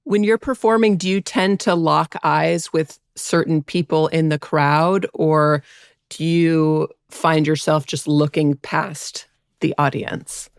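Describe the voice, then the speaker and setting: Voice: medium pitch, steady tone, slow, smooth, no echo, studio quality. A podcast host asking curious interview questions and giving short, affirming replies.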